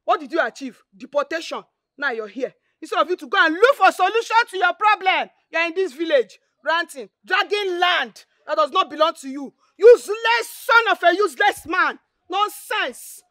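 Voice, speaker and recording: A woman's voice: extremely high pitch, expressive, normal speed, a bit bright, dry recording, clean studio sound. An actress with a Nigerian accent playing a fierce, scornful woman who scolds and hurls insults. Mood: scornful, angry. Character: scornful, fierce woman defending her brother. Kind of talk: Nollywood film acting.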